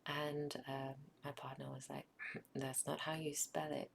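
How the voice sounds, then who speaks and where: A South African woman whose first language is Afrikaans, narrating a craft project in a soft, often whispered ASMR style with frequent ums. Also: sits low, little pitch movement, a little slow, vocal fry, a little hiss or hum. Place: home craft table.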